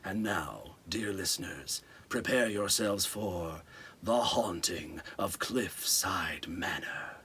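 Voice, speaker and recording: A man's voice: deep voice, takes it slowly, bright, forceful tone, heavily acted. A man playing a formal, ominous announcer of an old-time radio horror show in a dramatic, theatrical style. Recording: faint background noise.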